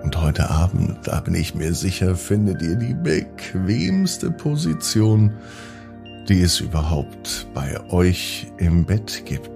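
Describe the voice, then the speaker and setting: Male storyteller, slow and deliberate, gravelly creak, gentle children's bedtime narration, drawn-out emphasis. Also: deep voice, very animated, over music. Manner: gentle, drawn-out emphasis, addressing young listeners. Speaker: children's podcast host and storyteller.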